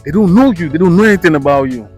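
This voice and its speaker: A man's voice: a little high, expressive, racing, darkish tone, faint music behind. A young Nigerian man with a Nigerian accent, hosting a chatty interview in a playful way and slipping into pidgin. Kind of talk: casual interview chat.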